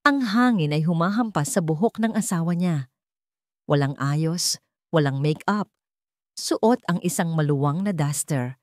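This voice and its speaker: A Filipino woman reads scripted romantic fiction as a storyteller. Her voice sits fairly low, and the sound is dry, with almost no room around it. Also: animated, measured pace, a little mellow, no echo.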